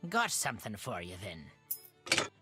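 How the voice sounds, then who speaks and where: A woman's voice: rumbling low, huge pitch swings, takes it slowly, over loud music, full character voice. An actress voicing a blunt, wry Irish countrywoman who keeps sheep, with folksy, brusque phrasing in a video game. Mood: brisk, instructing. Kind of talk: video game character dialogue.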